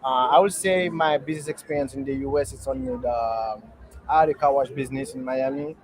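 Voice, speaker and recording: A young man from Haiti, a guest entrepreneur, answering interview questions in a halting, earnest way with many pauses and fillers, speaking from a restaurant. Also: medium pitch, slight background noise. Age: young adult.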